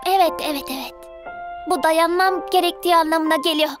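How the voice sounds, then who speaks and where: A woman's voice: very high voice, a little fast, over music. A woman voicing an eager, naive five-year-old girl in a cartoon dub, with a childlike delivery. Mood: stubborn.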